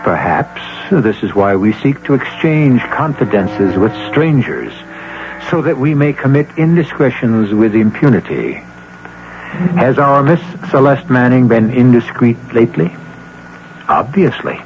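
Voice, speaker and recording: A man's voice: mid-range voice, big pitch swings. Wry male radio host, noisy muffled broadcast. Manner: measured, wry, rhetorical. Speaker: radio drama host and narrator. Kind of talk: theatrical scripted narration.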